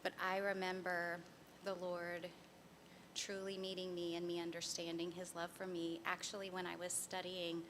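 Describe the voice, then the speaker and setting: A woman from the congregation telling her faith story to a church group in a halting, measured way. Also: fairly low pitch, background noise. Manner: halting and measured, flat delivery. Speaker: congregation member sharing testimony. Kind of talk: personal testimony told to a church group.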